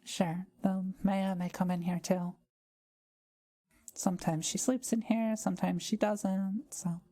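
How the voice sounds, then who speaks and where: A woman playing a kind, soft-spoken forest witch in an intimate audio roleplay, talking to the listener in gentle, formal, old-fashioned wording. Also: mid-range voice, fairly even intonation, measured pace, natural voice. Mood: warm, hospitable.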